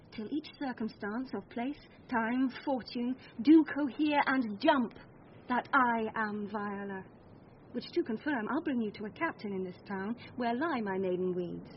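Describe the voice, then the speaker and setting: Earnest young girl dressed as a gentleman, highish voice, shakespeare radio play, bit muffled. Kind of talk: classical Shakespearean radio acting. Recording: slightly muffled radio recording, faint noise.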